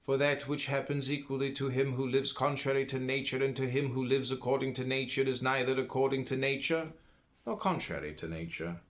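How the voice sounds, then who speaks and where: Man reading philosophy aloud with deliberate emphasis. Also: mid-range voice. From United States. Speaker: audiobook reader. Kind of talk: read-aloud philosophy narration.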